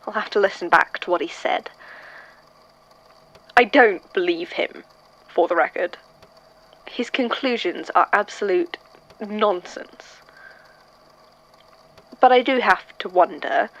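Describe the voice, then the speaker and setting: A woman voices a wry, sceptical male archivist in an audio drama. The speech is slow and hesitant but swings widely in pitch, with dry sarcasm. The recording sounds a little dull.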